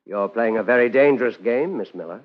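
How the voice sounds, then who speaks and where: A man's voice: on the low side, natural voice. Guarded, menacing radio-noir lawyer, played by a man. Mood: veiled menace. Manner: measured, formal, hesitant politeness with veiled threat. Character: smooth, guarded, quietly menacing male lawyer for a gangster. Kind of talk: 1950s radio noir acting. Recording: muffled vintage radio recording, faint music.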